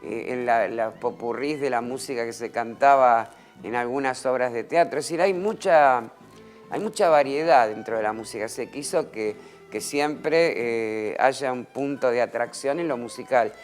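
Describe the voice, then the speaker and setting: A man from Argentina, who explains a play thoughtfully and often pauses with "eh". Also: mid-range voice, soft background music. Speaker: creator of the play, interviewed. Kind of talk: explanatory interview talk.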